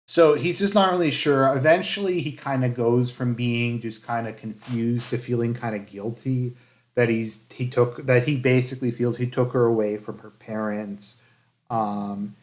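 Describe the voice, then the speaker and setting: A man giving casual review commentary in a halting, hedging way, with phrases that trail off. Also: medium pitch, a little mellow. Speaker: anime reviewer.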